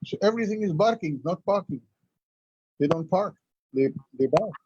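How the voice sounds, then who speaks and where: A man's voice: high voice, animated, moderate pace, darkish tone, husky, no echo. A man from India whose first language is Urdu, telling stories as a guest in a conversational interview. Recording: lo-fi, dull sound.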